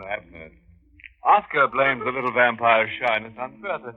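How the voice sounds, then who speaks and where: An actor playing a gleefully macabre, mock-genial horror host in 1940s radio style, chuckling over his puns. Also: mid-range voice. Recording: dull vintage 1940s radio with music.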